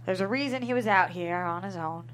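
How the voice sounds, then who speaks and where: A woman's voice: fairly low pitch, brisk, fairly bright tone, close to an everyday voice. Plain-spoken small-town woman female actor, folksy and blunt, comedy read, faint background noise. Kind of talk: comedy script table read.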